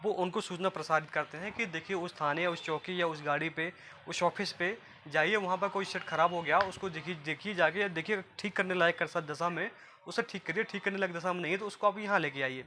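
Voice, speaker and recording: High, bright-voiced man explaining. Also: brisk, a little hiss or hum. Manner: repetitive, explanatory, often addressing listeners as friends. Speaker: presenter of a job guidance video. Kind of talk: informal explainer addressed to viewers.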